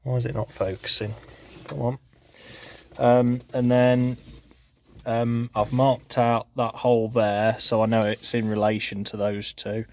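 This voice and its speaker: A man's voice: medium pitch, level tone, unhurried, a little mellow. A man talking informally and matter-of-factly through a hands-on job, in a casual how-to vlog recorded on a handheld phone. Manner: informal, matter-of-fact explaining. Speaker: farm vlogger showing a DIY installation.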